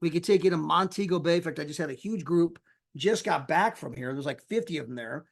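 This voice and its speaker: A man giving an energetic sales pitch on a live call, casual and folksy, acting out the people he quotes. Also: fairly quick, creaky. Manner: animated, acts out quoted people with big pitch jumps. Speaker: sales presenter.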